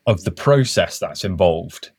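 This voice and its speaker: A man's voice: low-pitched, animated, a little slow, a little mellow, husky, dry recording, studio quality. A man speaking as a podcast guest, reflective and thinking aloud in a casual interview. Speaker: podcast guest, conservation worker.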